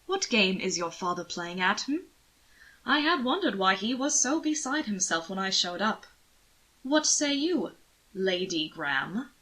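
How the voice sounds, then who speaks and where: A woman plays a young aristocratic cad in an audiobook. He drawls with suave amusement and likes to tease. The voice is bright and crisp-edged, with a rakish confidence. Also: mid-range voice, big pitch swings, a little slow, heavily acted. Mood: teasing, probing. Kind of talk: audiobook character voice.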